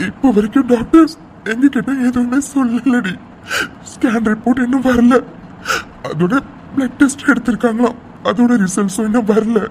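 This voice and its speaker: A woman playing an anxious young husband in an emotional audio drama, pleading tenderly and haltingly as he reassures his wife. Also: fairly high pitch, dark tone, lightly acted, low-quality recording. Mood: subdued, worried. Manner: pleading, halting, repeats words, tearful. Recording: faint background noise.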